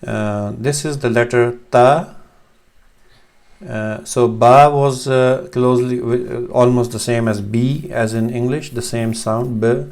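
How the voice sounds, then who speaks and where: Man in his thirties, Urdu native speaker from Pakistan, gravelly voice, hesitant teacher at a webcam. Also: mid-range voice, fairly even intonation, slow pace, darkish tone. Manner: hesitant, many fillers and restarts. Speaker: Arabic teacher. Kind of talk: screen-share lesson. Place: small room at home, indoors.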